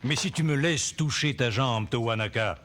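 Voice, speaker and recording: A man playing a calm, confident young adventurer in a French cartoon dub, speaking reassuringly. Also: medium pitch, moderate pace, a little hiss or hum, close to an everyday voice. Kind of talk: French animated film dub. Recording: faint music under the voice.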